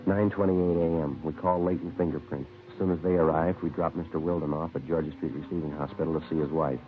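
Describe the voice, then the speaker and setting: An actor playing a terse, businesslike American police sergeant in a 1950s radio crime drama, clipped and matter-of-fact. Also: male voice, extremely low pitch, steady tone, quick pace. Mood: deadpan, factual. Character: terse, businesslike male police sergeant narrating his case. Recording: dull, phone-like vintage radio recording, faint music.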